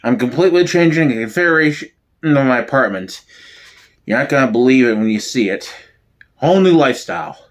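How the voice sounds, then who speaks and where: A man's voice: medium pitch, expressive, a little fast, voice pushed down, lightly acted. A man voicing an eccentric, overconfident neighbour in a sitcom reading, breezy about his grand schemes. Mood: excited.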